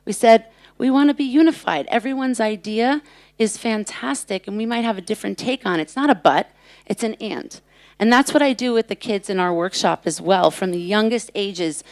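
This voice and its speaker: A woman's voice: mid-range voice, no echo. Earnest woman speaking on a panel. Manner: earnest, emphatic. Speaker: panelist, children's workshop leader. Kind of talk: panel talk. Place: conference stage.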